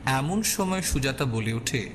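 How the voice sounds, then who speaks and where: A man's voice: medium pitch, lively intonation, measured pace, a little hiss or hum. A man narrating a scripted suspense audio story as its storyteller.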